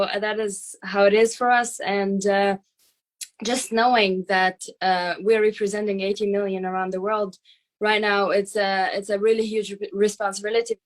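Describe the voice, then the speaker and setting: A young woman answering interview questions casually, pausing often on "uh" and "like". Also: mid-range voice. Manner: hesitant, with many "uh" and "like" fillers. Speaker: athlete guest.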